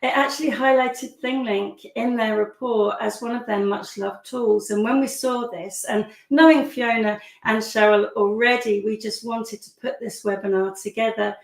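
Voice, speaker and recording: A woman hosting an online webinar, with a hoarse, croaky voice and a chatty manner full of fillers. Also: a little high, fairly even intonation, normal speed, few pauses, roomy sound, low-quality recording. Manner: chatty, warm welcomes with frequent fillers. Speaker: webinar host.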